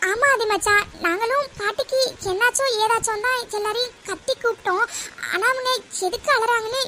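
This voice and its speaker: A woman's voice: extremely high pitch, a little fast, bright, forceful tone, a little hiss or hum. A woman playing an anxious, gossipy young woman in a comic family audio drama, talking in a fretful, conspiratorial, colloquial way. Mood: nervous explaining. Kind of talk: melodramatic comedy audio drama.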